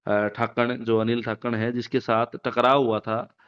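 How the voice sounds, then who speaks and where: Smooth-voiced male interviewer on muffled call. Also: mid-range voice, level tone, unhurried, a bit bright. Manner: hesitant, roundabout phrasing with repeated filler phrases. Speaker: interviewer, local news reporter. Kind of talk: phone interview, asking questions. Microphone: phone call. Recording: muffled, lo-fi phone call recording.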